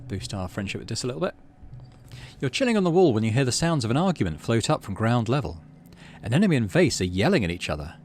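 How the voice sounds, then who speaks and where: A man, middle-aged, hosting a game playthrough, mixing casual commentary with expressive story reading. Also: on the low side, a little hiss or hum. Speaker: let's play host.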